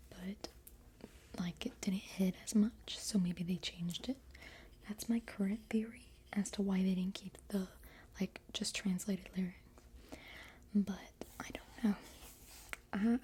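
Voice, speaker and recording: A young woman whispering through a casual album unboxing and softly half-singing song lines, rambling with many fillers. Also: medium pitch, slight background noise. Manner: rambling, filler-heavy chatter. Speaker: vlogger.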